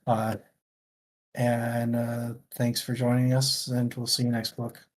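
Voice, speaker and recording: A man's voice: mid-range voice, monotone, takes it slowly. A man hosting a casual book chat livestream, talking in a rambling, jokey and self-deprecating way. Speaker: book club podcast host.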